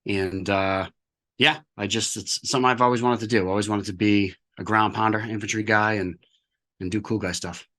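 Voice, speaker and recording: Male guest, forties, from the Boston area, lowish forceful voice. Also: fairly even intonation, moderate pace. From Massachusetts, near Boston. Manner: plain-spoken, with many fillers. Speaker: army veteran guest. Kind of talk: casual interview chat.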